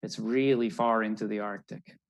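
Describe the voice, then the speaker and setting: A man's voice: lowish voice, fairly even intonation, normal speed. An older man reading a children's picture story aloud and explaining it as a naturalist, recorded indoors on a video call. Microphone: video call computer microphone.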